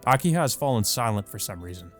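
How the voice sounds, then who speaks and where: A man's voice: on the low side, big pitch swings, fast, a bit bright. A man reading first-person visual novel narration aloud as a let's player. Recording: music behind the voice. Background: music.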